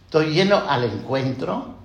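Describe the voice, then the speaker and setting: A man with a fairly high voice speaks as a priest giving a homily, taking his time over each phrase. He pauses with "eh" and turns to ask questions of the listeners, and the sound carries the echo of a church. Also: very animated, vocal fry. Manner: slow, reflective, with many "eh" fillers and questions to the congregation.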